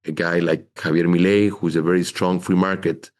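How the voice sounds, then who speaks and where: A middle-aged man with a very low voice answers interview questions. He explains at a measured pace, often stopping to say "you know" as he lays out his points. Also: flat delivery. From Chile. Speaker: investment officer guest.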